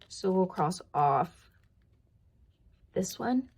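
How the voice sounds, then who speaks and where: A woman vlogger talking casually and thinking aloud as she works, her voice croaky and tired from long talking. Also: fairly low pitch, animated, very slow, a little mellow. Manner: rambling, thinking aloud, counting to herself. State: voice tiring after long filming. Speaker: budgeting vlogger. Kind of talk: casual chatty hands-on narration.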